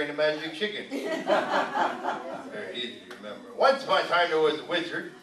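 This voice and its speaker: Echoey, animated male storyteller. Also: on the high side. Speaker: puppet show storyteller. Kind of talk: playful children's storytelling to a live audience. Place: arts center room at a live show. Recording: echoey room, far from the mic.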